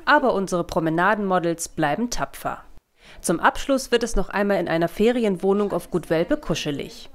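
A woman's voice: lowish voice, lively intonation, normal speed. A young woman reading scripted narration as the presenter of a weekly news roundup. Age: young adult. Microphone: lapel mic. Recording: music under the voice. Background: background music.

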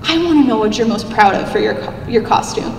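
A young woman with a squeaky little voice giving an upbeat, chatty convention panel talk, speaking into a handheld mic in a large hall. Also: expressive, fast talker, gravelly, darkish tone, slight background noise. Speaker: cosplay contest judge giving a convention panel. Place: large convention hall, seated at a stage table. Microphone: handheld mic, filmed by a far camera. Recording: echoey hall, recorded from far off.

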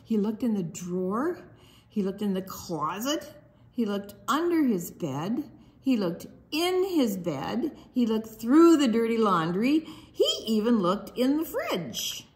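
A woman reading a children's picture book aloud, expressively and with deliberate dramatic pauses. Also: fairly high pitch, big pitch swings, darkish tone. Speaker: storytime book reader.

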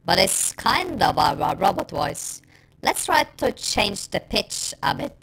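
A man talks slowly through a distorted robot filter with reverb. The voice crackles heavily and swings in pitch, while the delivery stays casual. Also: very animated, creaky, sharp tone, breathy edge. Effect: robotic voice changer with distortion and reverb. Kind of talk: casual demo of a voice changer.

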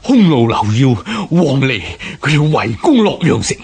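Urgent, gravelly army messenger. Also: male voice, medium pitch, sing-song, measured pace, a little mellow. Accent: Hong Kong. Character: urgent male military messenger reporting defeat to his emperor. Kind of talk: historical radio drama acting.

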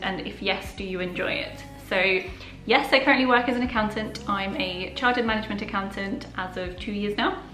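Young woman, Polish native speaker, bright voice, casual chatty vlog Q&A, echoey living room. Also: medium pitch. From Poland. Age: young adult. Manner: chatty and open, answering viewer questions. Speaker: personal finance YouTuber. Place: living room at home, indoors.

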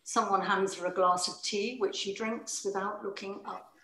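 A woman's voice: mid-range voice, steady tone, moderate pace, pushed and bright. A woman reading stage directions as scripted narration for a play, recorded over a video call. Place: room at home. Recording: echoey room on video call.

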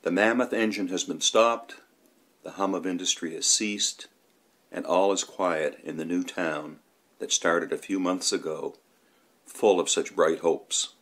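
A man's voice: low-pitched, steady tone, measured pace, a bit bright. A man reading scripted documentary narration, including old newspaper reports.

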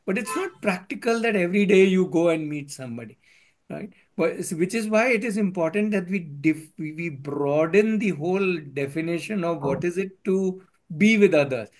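An earnest man hosts an online meetup from a room at home. His voice sits somewhat high, and he repeats phrases and slips into Hindi as he makes his points. He is heard through a headset on a video call. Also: expressive, normal speed. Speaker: meetup host. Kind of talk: casual video-call discussion.

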